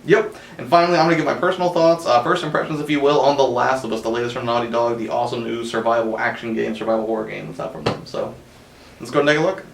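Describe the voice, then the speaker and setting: A man's voice: mid-range voice, steady tone, quick pace, creaky. A man co-hosting a video game show, chatting casually about games and movies. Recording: distant mic, faint background noise.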